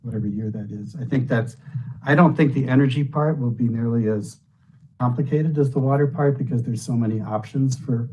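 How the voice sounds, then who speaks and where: A hoarse man, a county commissioner, making a measured, reasoned case at a public meeting. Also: medium pitch, a little mellow, slight background noise, poor audio. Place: meeting room.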